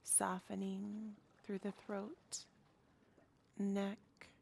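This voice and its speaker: A woman guiding a meditation in a soothing, hushed, breathy manner. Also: mid-range voice, monotone, drawn-out words, a little hiss or hum. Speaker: yoga nidra guide. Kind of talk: guided meditation.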